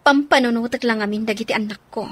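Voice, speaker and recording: A woman with an Ilocano accent acting as a long-suffering betrayed wife and mother in a radio drama, her voice slightly husky. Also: on the high side, fast, lightly acted. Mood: hurt, resigned.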